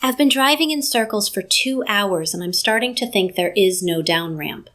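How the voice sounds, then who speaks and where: An actress playing a frustrated, trapped shopper, reading out an online review in a deadpan, uneasy way for an audio drama. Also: female voice, medium pitch, normal speed, lightly acted. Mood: exasperated.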